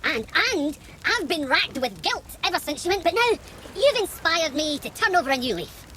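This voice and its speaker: A woman's voice: high voice, sing-song, moderate pace, pushed and bright, slight background noise, exaggerated voice. A woman voicing a sly talking parrot in a game, wheedling and shifty as it tries to make amends. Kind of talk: game cutscene voice acting.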